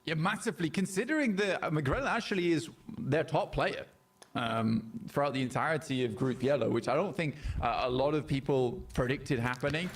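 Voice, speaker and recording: A man's voice: on the high side, a little fast, husky. A man giving analytical color commentary on a live esports match, explaining team form and map positions.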